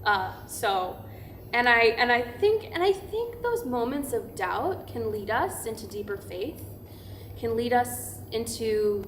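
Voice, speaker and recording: Staged reading: wry woman pastor, acted, highish voice, colloquial and emphatic, echoey live room. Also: sing-song, takes it slowly, a little hiss or hum. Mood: emphatic, reflective. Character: frank, wry woman pastor, thoughtful about faith. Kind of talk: verbatim theatre monologue, staged reading.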